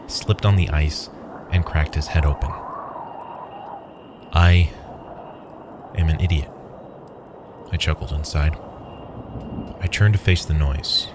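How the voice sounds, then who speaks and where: A man narrating a first-person horror story in a measured, dramatic way. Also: very deep voice, background noise. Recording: loud music under the voice. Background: loud music.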